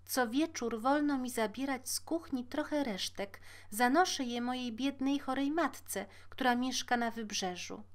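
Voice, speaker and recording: A woman's voice: high-pitched, unhurried, hardly any pauses, voice pushed up. A woman voicing a poor, sweet, eager young kitchen girl in a fairy tale, speaking earnestly. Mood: wistful, devoted. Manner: earnest, exclaiming. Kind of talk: fairy-tale storybook character voice.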